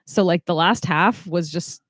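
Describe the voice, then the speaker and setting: A woman's voice: fairly low pitch, unhurried, a bit bright, no room sound, clean studio sound. A woman co-hosting a comedy podcast, with a nasal voice, giggling often and joking at her own expense. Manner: giggly, self-deprecating. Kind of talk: casual chat.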